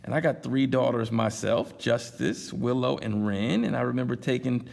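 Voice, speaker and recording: A man reading a picture book aloud at a library storytime, pausing for chatty asides to his listeners. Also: medium pitch, creaky, a little mellow, in a large hall. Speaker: storytime reader.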